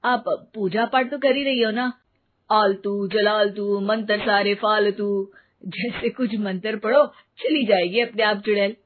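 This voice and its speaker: A woman voicing a casual, sceptical grown-up son in an animated moral story. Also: mid-range voice, a little slow, lightly acted. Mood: dismissive, teasing. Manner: casual, dismissive. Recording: narrow phone-like sound, faint noise.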